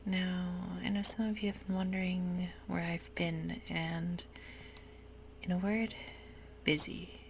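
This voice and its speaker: A young woman whispering casual ASMR commentary while she plays a video game, talking in a soft, rambling way. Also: fairly low pitch, flat delivery, slow. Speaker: ASMR gaming channel host. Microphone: desk mic while gaming. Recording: rough home recording with audible hiss.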